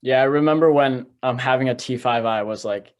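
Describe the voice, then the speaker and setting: A man's voice: mid-range voice, brisk. Young guy, laid-back and slangy, amused, mid-range voice. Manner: relaxed, easily amused, slangy. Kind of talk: casual chat.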